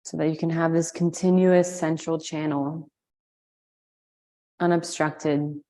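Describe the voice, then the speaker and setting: A woman leading a guided meditation, giving slow, soothing instructions with long pauses. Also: low-pitched, level tone, dark tone. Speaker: meditation and yoga teacher.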